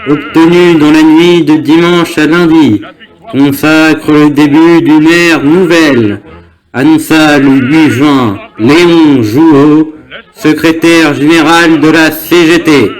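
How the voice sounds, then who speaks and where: A man's voice: on the high side, fairly even intonation, slow pace, poor audio. A young man reads a scripted newsreel narration in a formal manner. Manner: formal, reading aloud. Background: music.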